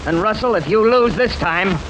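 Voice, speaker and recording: A man's voice: high-pitched, normal speed, highs cut off, natural voice. An actor playing an irritable, domineering American jury foreman, sarcastic and blustering in 1940s radio drama style. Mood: grudging. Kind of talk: 1940s radio mystery acting. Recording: noisy vintage radio recording.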